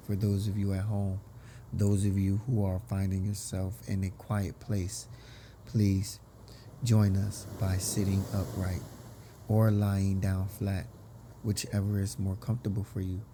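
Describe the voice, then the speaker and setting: A man leading a guided meditation as a teacher, speaking in a soothing, deliberate way with drawn-out phrases and pauses. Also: deep voice, background noise. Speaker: meditation teacher and podcast host. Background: background music.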